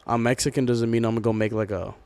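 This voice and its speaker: A man's voice: medium pitch, fairly even intonation, fast talker, a little mellow, dry recording. A young man from Southern California chatting casually with friends on a podcast, with slangy, profane speech. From Southern California, United States. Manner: profane, slangy, fond of filler phrases. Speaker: podcast host.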